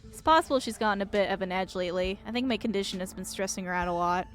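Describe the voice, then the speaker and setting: A woman voicing a sickly, gloomy young male painter in a game, soft-spoken and resigned, speaking matter-of-factly about death. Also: medium pitch, fast, faint music behind, close to an everyday voice. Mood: weary, resigned.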